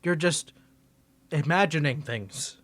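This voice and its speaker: A man's voice: fairly high pitch, slow, fairly bright tone. A man voicing a flustered young tsundere male student rival in an ASMR roleplay, teasing and defensive, stammering when caught off guard. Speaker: ASMR creator.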